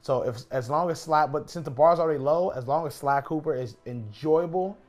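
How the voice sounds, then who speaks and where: A man's voice: on the high side, fairly quick, a little mellow. A man chatting casually while gaming, blunt and opinionated, often repeating himself for emphasis. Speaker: podcast guest or panelist. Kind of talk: casual chat while playing a video game.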